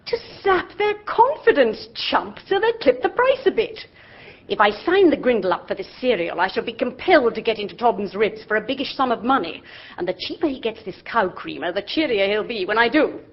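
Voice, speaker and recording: A hearty, bossy middle-aged aunt in a radio play, barking orders in a brisk, slightly high voice. The recording is somewhat dull. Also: female voice, very animated, husky, heavily acted. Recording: dull lo-fi audio.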